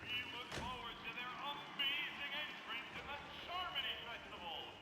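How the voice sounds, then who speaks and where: An actor playing an excitable festival announcer making a ceremonial announcement in a video game. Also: male voice, very high voice, normal speed. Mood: excited, celebratory. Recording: game music behind the voice.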